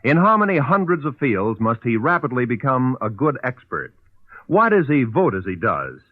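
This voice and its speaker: Casting: male American network announcer, 1940s style, animated and emphatic, dry, narrow phone-like vintage recording. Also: medium pitch, normal speed, no room sound. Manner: emphatic, formal. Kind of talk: 1940s radio announcing, scripted promo.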